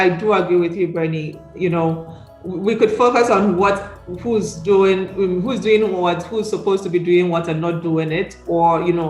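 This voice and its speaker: A woman moderating a panel discussion, reflective and questioning, often checking in with the listeners for agreement. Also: on the low side. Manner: reflective, questioning, seeking agreement with tags like "right?". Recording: roomy echo.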